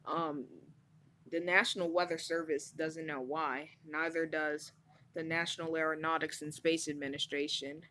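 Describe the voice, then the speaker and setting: Female actor as worn-out TV news anchor for an audio drama; lower register, blunt, informal, flustered by heat. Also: little pitch movement, normal speed, pushed and bright. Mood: weary, complaining. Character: overheated, frank, swearing female TV news anchor, flustered and weary. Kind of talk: amateur audio drama newscast, conversational.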